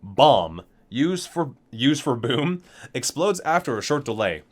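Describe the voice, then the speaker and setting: A man presents in an ASMR style, reading catalog-like game entries piece by piece. His medium-pitched voice moves up and down with a lot of animation. He breaks from the measured reading into casual, enthusiastic commentary. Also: sing-song. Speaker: ASMR video creator, gamer. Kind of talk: ASMR reading aloud from a game item book with casual asides.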